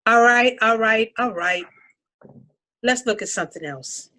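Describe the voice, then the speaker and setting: A woman playing a composed, patient couples counselor in a dramatic table read, talking in a measured, instructive way. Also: a little high, bright, forceful tone. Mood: soothing, firm.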